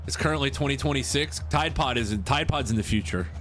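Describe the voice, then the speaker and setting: A man's voice: medium pitch, a little fast, fairly bright tone, soft background music. A man in his thirties hosting a live stream, chatting casually with viewers and reacting to a football game he is playing, often repeating himself. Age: 30s. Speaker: livestream host. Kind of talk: casual live-stream chat over gameplay.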